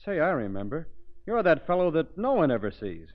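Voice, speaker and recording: An actor playing an eager, loyal airline pilot, earnest and plain-spoken, in 1930s radio style. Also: male voice, a little high, very animated, brisk. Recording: muffled old 1930s radio broadcast.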